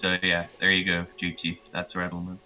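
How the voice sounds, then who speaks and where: A man's voice: deep voice, monotone, a little fast, sharp tone, dull audio, soft background music. A man chatting casually as a player in a tabletop game over voice chat. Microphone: online voice call.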